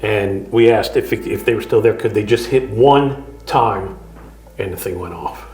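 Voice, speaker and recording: A man's voice: lowish voice, measured pace, darkish tone. A man, a paranormal investigator, earnestly recounting strange experiences in an interview. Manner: earnest, matter-of-fact storytelling. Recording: echoey room.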